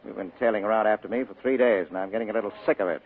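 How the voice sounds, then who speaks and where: An actor playing a tough, wisecracking private detective in 1940s radio style, clipped and insistent. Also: male voice, lowish voice, racing, a little hiss or hum, natural voice. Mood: tough, threatening. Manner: clipped, hard-boiled, insistent. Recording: muffled old radio broadcast recording.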